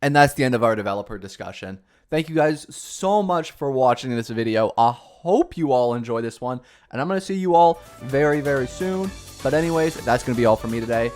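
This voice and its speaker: A man's voice: medium pitch, a little fast, few pauses. Chatty self-mocking man over faint music. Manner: self-deprecating, chatty, mock-excited cheers. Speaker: gaming channel host. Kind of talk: casual commentary reading a developer blog.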